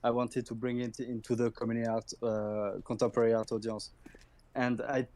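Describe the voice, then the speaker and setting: Halting man with flat delivery. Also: mid-range voice. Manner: halting, many "like" fillers and restarts. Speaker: auction house specialist. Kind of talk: live audio chat. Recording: noisy stream audio.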